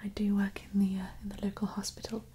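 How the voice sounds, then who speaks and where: A young woman playing a genteel, apologetic vampire nurse in an ASMR roleplay, with a soft, breathy voice. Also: medium pitch, completely flat delivery, fast. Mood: polite, hesitant. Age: young adult.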